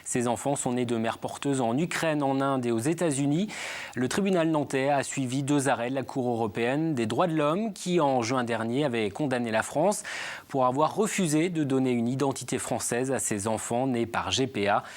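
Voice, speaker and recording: A man anchoring a regional television news bulletin in a steady, measured newsreading voice. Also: mid-range voice.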